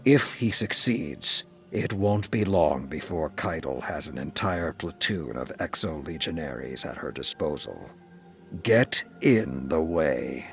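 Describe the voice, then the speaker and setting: An actor playing a grave, commanding male military leader, giving a measured, authoritative mission briefing in a video game. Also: rumbling low, expressive, soft background music, heavily acted. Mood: grave, resolute. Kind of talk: dramatic scripted mission briefing.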